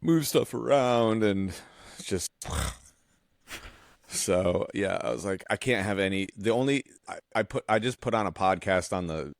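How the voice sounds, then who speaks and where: A man's voice: lowish voice, a little slow, vocal fry, dry recording, studio quality. A man in casual podcast chat, talking in a blunt, slangy, informal way. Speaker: podcast co-host, painter.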